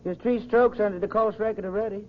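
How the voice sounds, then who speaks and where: An actor playing an awestruck, deferential, folksy golf caddy in an old radio drama, excitable and full of exclamations. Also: male voice, high voice, quick pace, close to an everyday voice. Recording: muffled old radio recording.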